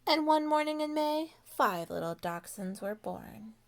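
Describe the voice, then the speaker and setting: Woman, high-pitched voice, lively storytime reader, expressive, dramatic pauses, speaking to young children. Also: gravelly. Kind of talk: animated picture-book read-aloud for young children.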